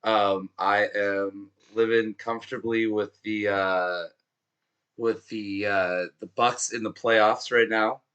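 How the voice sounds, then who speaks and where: A man with a hoarse, worn-out voice hosting a live music show in a chatty, pumped-up way. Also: on the low side, flat delivery, slow, fairly bright tone, lo-fi sound. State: hoarse, has lost his voice. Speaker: show host.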